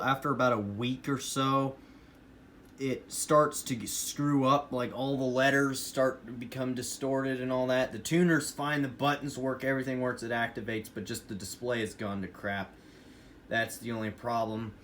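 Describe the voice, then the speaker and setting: Casual American male hobbyist vlogger, mid-pitched, informal and enthusiastic, rambling delivery, noisy room recording. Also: fairly even intonation, normal speed, a bit bright. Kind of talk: casual rambling show-and-tell of a radio collection. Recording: noisy room with clear background noise.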